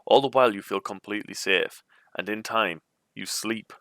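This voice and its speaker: Bright-voiced man reading a gamebook aloud. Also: lowish voice, normal speed, no echo, studio quality. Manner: informal, chatty asides. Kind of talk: storybook read-aloud with casual commentary.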